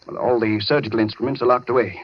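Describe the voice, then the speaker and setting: An actor playing a resigned captive doctor in a radio horror drama, explaining things gravely in a husky voice. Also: male voice, mid-range voice, fairly even intonation, fast talker, slight background noise, close to an everyday voice. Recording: muffled radio drama recording.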